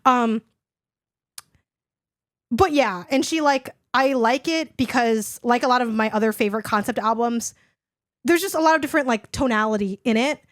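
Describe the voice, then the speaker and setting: A hoarse young woman co-hosting a podcast, chatting casually and rambling with lots of "like". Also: a little high, moderate pace, long pauses, no echo, clean studio sound. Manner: rambling, heavy use of "like". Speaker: podcast co-host. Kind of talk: casual chat.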